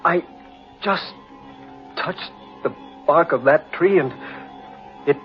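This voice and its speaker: A man playing an excitable gentleman explorer who gets easily unnerved, in theatrical, exclamatory 1940s radio horror style. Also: on the high side, slow. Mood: shaken. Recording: muffled old radio recording, faint music.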